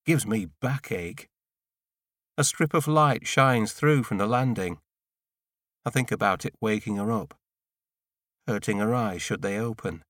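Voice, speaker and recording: A man reading a first-person literary audiobook in a measured way, in short deliberate phrases. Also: lowish voice, a bit bright, no room sound, studio quality. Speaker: audiobook narrator.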